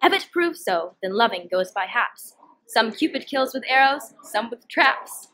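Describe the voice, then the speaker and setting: A woman's voice: on the high side, animated, measured pace, fairly bright tone. A teenage girl playing a scheming young noblewoman in a student performance of Shakespeare, with a declamatory delivery. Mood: scheming, brisk. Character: Hero, scheming young noblewoman feigning disdain for her cousin.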